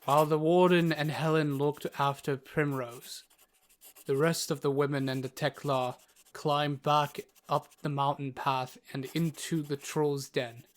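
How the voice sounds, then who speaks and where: A man's voice: fairly high pitch, level tone, a little slow. A man reading scripted narration for a fantasy story as the narrator.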